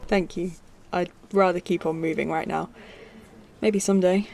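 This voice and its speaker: A woman's voice: lowish voice, fairly even intonation, moderate pace, creaky, a little mellow. A woman playing an earnest, displaced human space traveller in a science-fiction audio drama. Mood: friendly, relaxed. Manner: earnest, confiding.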